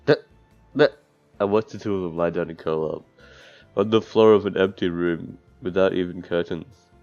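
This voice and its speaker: Deep, gravelly man reading a visual novel. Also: steady tone, normal speed, darkish tone. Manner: crude, profane, sardonic asides. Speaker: streamer playing a visual novel. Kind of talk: let's play, reading game text aloud with commentary. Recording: dull lo-fi mic, music behind. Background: game music.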